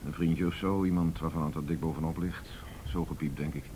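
World-weary detective, low voice, blunt and factual, radio play with faint rumbling noise. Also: male voice, steady tone, fairly quick, mellow. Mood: blunt, speculating. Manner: blunt, matter-of-fact. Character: blunt, world-weary male police detective briefing a colleague. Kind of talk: radio drama acting.

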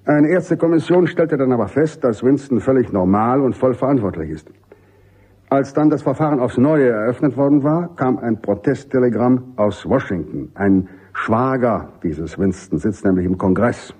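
Commanding, genial army general, slightly husky. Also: male voice, mid-range voice, animated, normal speed, dark tone. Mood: explaining, wry. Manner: jovial, authoritative, emphatic. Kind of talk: German radio drama acting.

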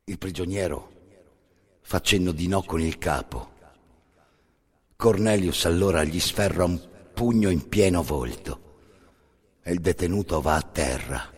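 A man performing scripted dramatic storytelling as a solo narrator, grave and deliberate, in short phrases with pauses. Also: low-pitched, husky. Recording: long reverberant hall.

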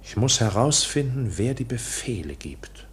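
An actor in a radio play playing an anxious, proud bank clerk who speaks formally and insistently, justifying himself. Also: male voice, mid-range voice, sing-song, a little slow, husky, full character voice. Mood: agitated brooding.

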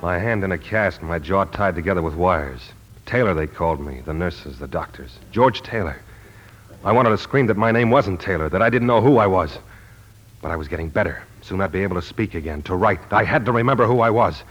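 An actor playing a wary, troubled amnesiac war veteran who narrates in a terse, hardboiled 1940s radio style. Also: male voice, low voice, animated, a little fast, dull audio. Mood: brooding. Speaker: lead actor and first-person narrator. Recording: 1940s radio broadcast with music.

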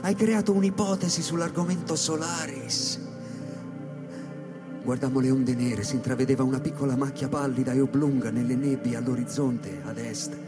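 An actor playing an anguished, feverish space-station scientist in a radio drama, stammering repetitions and swelling into theatrical declamation. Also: male voice, medium pitch, fairly even intonation, moderate pace, natural voice. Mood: brooding. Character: Kris, anguished, feverish male space-station scientist musing on an imperfect God. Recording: echoey hall reverb under clear music. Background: live electronic and flute music.

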